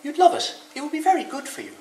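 A man's voice: fairly high pitch, huge pitch swings, quick pace, a bit bright, reverberant, recorded from a distance, slight background noise, voice pushed up, heavily acted. A man voicing a kindly, cheerful big female bear who encourages others in a children's story. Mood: enthusiastic.

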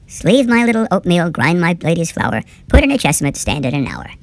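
A man voicing a chorus of tiny mice who sing nursery rhymes in a high, squeaky sing-song while he reads a storybook aloud. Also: very animated, brisk, creaky, no room sound. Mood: gleeful.

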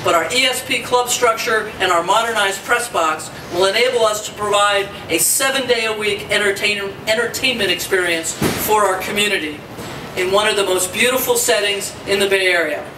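A man's voice: high voice, moderate pace. A man speaking upbeat, promotional remarks at a press conference. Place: conference room at a stadium. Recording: echoey room from far off, noisy.

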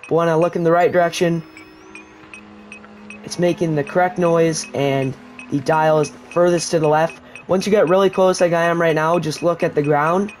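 A man giving a casual, rambling voice-over tutorial for a survival video game, explaining as he plays. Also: fairly high pitch. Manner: relaxed, rambling explanation with restarts. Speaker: gaming YouTuber.

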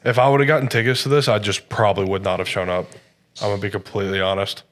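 A man's voice: lowish voice, steady tone, racing, a bit bright. A young man in his early twenties trading teasing banter in a casual podcast chat. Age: young adult. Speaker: college athlete guest. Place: podcast studio on a leather couch.

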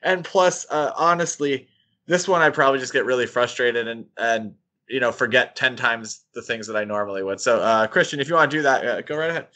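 A man with a mid-pitched voice talks casually as a podcast co-host. His delivery is halting and conversational, with a self-deprecating streak. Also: sharp tone. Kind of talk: casual comic-book discussion.

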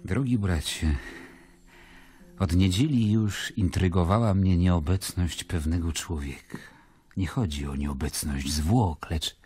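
An actor playing a stern, skeptical Roman governor in a radio play, with a husky voice. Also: male voice, low-pitched, warm tone, dry recording, heavily acted. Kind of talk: dramatic radio play acting and narration.

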